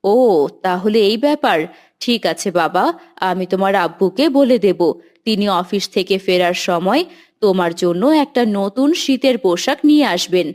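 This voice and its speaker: A woman acts a caring mother figure from an animated moral tale. She speaks patiently, with gentle admonishment, in a womanly voice that suits family advice. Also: mid-range voice, normal speed, lightly acted. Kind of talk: animated Islamic moral story voice acting.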